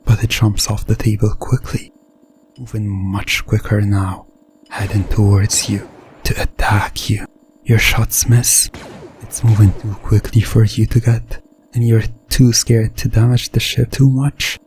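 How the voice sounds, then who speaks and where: A man narrating a hypnosis ASMR story in the second person, in a deliberate, suspenseful way. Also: fairly low pitch, flat delivery, darkish tone, faint music behind.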